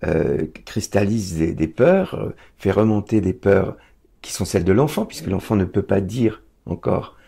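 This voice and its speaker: A man's voice: fairly low pitch, sing-song, moderate pace, creaky, mellow, slightly rough. A man conducting a conversational interview, explaining ideas expressively with examples.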